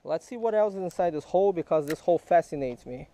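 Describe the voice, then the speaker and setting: Guy teaching a kid to fish by a creek, high-ish voice, very mellow, patient and quizzing. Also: lively intonation, fast. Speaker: fishing vlogger. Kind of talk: casual teaching chat while fishing. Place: outdoors by a small creek in a park. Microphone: head-mounted action camera.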